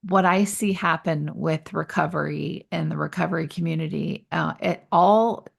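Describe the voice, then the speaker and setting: A woman hosting a podcast interview, chatty and quick with asides, laughing easily. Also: low voice, vocal fry, husky. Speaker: podcast host. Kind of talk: casual interview chat.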